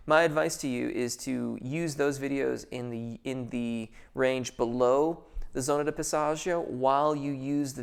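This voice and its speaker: A man's voice: medium pitch, gravelly, clear, resonant tone. A man answering viewer questions as a vocal coach in a casual live Q&A, thoughtful and hesitant, with many fillers and restarts before careful technical explanations.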